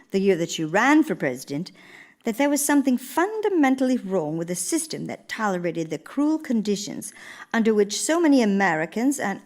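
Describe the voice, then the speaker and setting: A woman playing an earnest, idealistic older First Lady in live radio theater, speaking in a measured, oratorical manner. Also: medium pitch, darkish tone, lightly acted. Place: live stage before a studio audience in a church.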